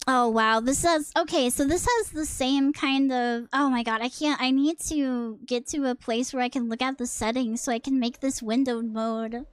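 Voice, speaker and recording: A woman live-streaming a game, chatting casually, swearing freely and blurting out quick reactions. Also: high voice, smooth, no room sound. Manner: reads on-screen game text aloud between comments.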